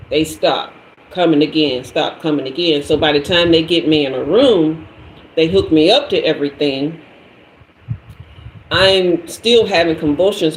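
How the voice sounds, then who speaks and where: Animated woman telling a story, echoey room. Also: low-pitched, slight background noise. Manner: lively, acts out every voice in the story. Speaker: tarot reader and host. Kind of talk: animated storytelling to a live audience.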